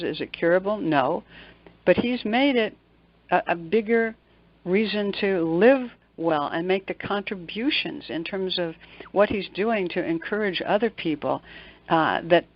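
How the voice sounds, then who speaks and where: A woman's voice: lowish voice, muffled recording. A middle-aged or older woman, a motivational coach answering as an interview guest, earnest and emphatic, often posing questions and answering them.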